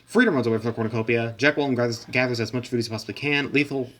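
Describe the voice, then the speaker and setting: A man hosting a simulation with casual, rambling commentary, stumbling over words and dropping deadpan asides. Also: medium pitch, rapid-fire. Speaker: host narrating a simulation.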